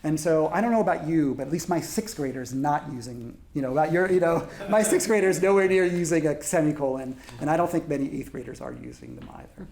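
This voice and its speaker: A man in his forties speaking as a translator on a panel, halting, with many fillers and restarts. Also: fairly high pitch, vocal fry, a little mellow. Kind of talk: panel discussion.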